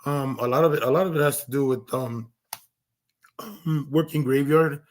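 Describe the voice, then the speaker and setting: Hoarse man losing his voice. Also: mid-range voice, moderate pace. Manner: earnest, rambling with many restarts and fillers. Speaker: streamer. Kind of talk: casual livestream monologue.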